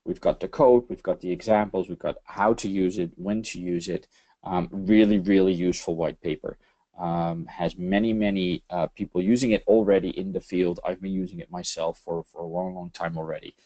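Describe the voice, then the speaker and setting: A man from the Netherlands with a Dutch accent, presenting a technical webinar over slides and speaking haltingly, with many fillers and repeated words. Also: low voice, steady tone, dark tone, lo-fi sound. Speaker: webinar presenter, systems engineering lead.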